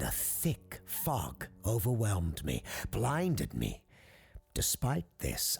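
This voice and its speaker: Man voicing a slow, grandiose, eerily rapturous circus owner. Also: deep voice, vocal fry, full character voice. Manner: theatrical, rapturous, savoring each word. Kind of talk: audiobook character acting.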